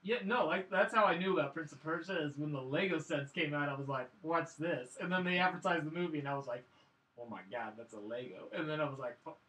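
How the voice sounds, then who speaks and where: A man co-hosting a casual film review, telling the story in an excitable, rambling way. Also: on the high side, fast. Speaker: podcast co-host reviewing films. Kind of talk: casual chat, film recap. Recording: echoey room, distant microphone.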